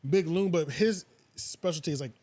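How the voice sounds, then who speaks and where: An American man with a slightly high voice hosts a casual podcast. He talks in loose slang with animated emphasis. The sound is close and dry. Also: moderate pace, dry recording. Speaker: podcast host.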